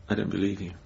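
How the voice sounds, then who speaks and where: A man acting in a radio drama as a wounded, distrustful hypnotherapist, speaking in a halting, terse, incredulous way. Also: darkish tone, dull audio, close to an everyday voice. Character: wounded, distrustful male hypnotherapist, betrayed lover.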